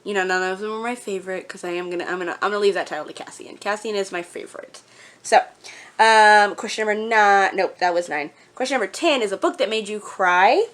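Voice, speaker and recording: A woman's voice: mid-range voice, fairly quick, a bit bright. A woman vlogger chatting casually to her viewers in a gushing, emphatic way. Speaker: book vlogger.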